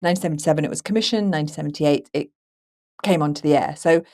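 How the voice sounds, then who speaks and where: A woman hosting a conversational film podcast, chatty and animated in the way she tells things. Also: low voice, rapid-fire. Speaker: podcast host and moderator.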